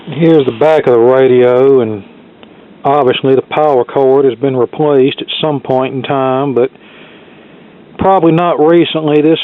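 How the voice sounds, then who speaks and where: A man giving casual, unscripted demonstration commentary as a hobbyist repairer, plain-spoken and thinking aloud as he goes. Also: on the high side. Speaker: vintage radio repair hobbyist presenter. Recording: thin, phone-like band-limited sound.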